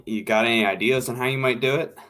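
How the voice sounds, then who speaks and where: Casting: male actor as mid-pitched studio director, groping for words, tactful yet exacting, vintage radio play. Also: steady tone, fast talker, a bit bright, lightly acted. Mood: businesslike. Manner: hesitant, groping for words, repeating himself. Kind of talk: naturalistic old-time radio drama acting.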